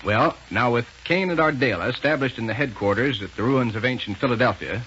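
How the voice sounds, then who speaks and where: A man announcing in 1930s radio style, hearty and emphatic. His voice is medium in pitch and clearly scripted. The recording is muffled with light hiss. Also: brisk, a bit bright. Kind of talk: 1930s scripted commercial and story narration.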